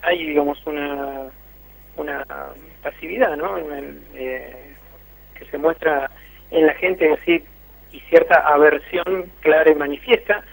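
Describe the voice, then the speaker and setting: A man's voice: fairly high pitch, measured pace, creaky. A man with an Argentine accent reporting by phone for a radio interview, hesitant, with many fillers and restarts. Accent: Argentine Spanish. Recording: tinny phone line.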